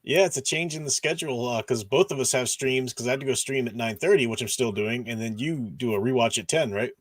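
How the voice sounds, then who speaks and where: A man hosting a casual discussion stream, talking in a loose, rambling way. He restarts sentences and gropes for names as he goes. His voice is mid-pitched and the recording is dry and close. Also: fast, no echo. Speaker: podcast host.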